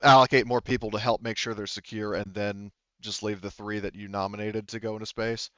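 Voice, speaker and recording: Man, game master, smooth lowish voice, halting casual explanations, slightly dull dry recording. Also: flat delivery, sharp tone, no room sound. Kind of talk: casual narration of a tabletop roleplaying game.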